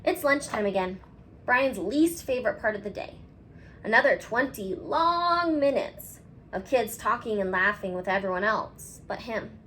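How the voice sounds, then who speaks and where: A woman teacher with a fairly high voice reads and talks to young children. Her delivery is very animated and affectionate, with gentle emphasis on key words. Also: unhurried, a little hiss or hum. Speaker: school teacher. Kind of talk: expressive storybook read-aloud for children.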